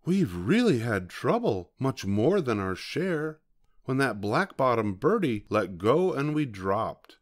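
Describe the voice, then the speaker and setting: A man voicing a tiny, anxious, excitable mayor of miniature folk in a children's storybook, pleading in rhyme. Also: medium pitch, takes it slowly, pitched above the performer's own voice. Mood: distressed, pleading. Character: tiny anxious male mayor of a speck-sized people.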